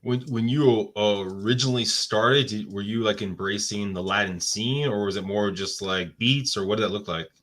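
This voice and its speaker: A man's voice: lowish voice, moderate pace, hardly any pauses, fairly bright tone. A man hosting a podcast, asking rambling, hesitant questions. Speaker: podcast host. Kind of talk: interview questions.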